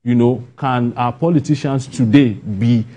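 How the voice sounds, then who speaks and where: A man's voice: medium pitch, a little slow, dark tone. A Nigerian man speaking as an interview guest, earnest and emphatic, repeating phrases as the point builds. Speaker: playwright and guest. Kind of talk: broadcast interview answers.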